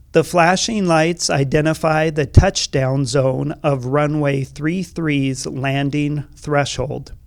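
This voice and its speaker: A man narrates in a factual, formal register. He takes his time, with noticeable vocal fry and a mid-range pitch, recorded dry with almost no room sound. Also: dry recording. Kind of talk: scripted explanatory narration.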